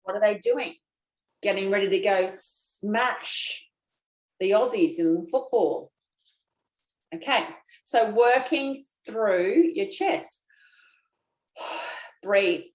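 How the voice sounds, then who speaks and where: A chatty, folksy woman leads viewers through a self-massage routine, speaking at an unhurried pace. Her voice bounces around an echoey room. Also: mid-range voice, a little mellow. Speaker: lymphatic drainage instructor. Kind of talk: guided self-massage class.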